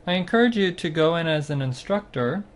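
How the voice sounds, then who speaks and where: A man's voice: fairly high pitch, expressive, a little fast. Man walking through a web tool. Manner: plain, step-by-step explaining with fillers. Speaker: site instructor demonstrating software. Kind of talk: screencast tutorial narration.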